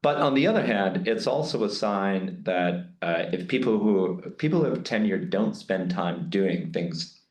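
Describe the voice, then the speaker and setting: A man with a low voice talks through his thoughts in a candid, meandering way, full of restarts and asides. The sound has a noticeable room echo. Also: expressive, a little slow, hardly any pauses, a little mellow. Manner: reflective, rambling with many restarts and fillers. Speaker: guest lecturer answering questions. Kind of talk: candid academic Q&A.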